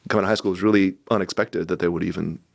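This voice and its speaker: Guest voice: low, gravelly man, easygoing storyteller, close and dry. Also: rapid-fire, no echo. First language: Cantonese. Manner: relaxed storytelling with many fillers and asides. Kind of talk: casual conversational interview.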